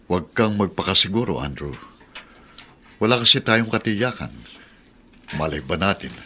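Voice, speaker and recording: An actor playing a worldly, cynical middle-aged uncle in a radio drama. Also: male voice, low-pitched, expressive, moderate pace, bright, forceful tone, slight background noise, full character voice. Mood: sly, amused. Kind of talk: Tagalog radio drama acting.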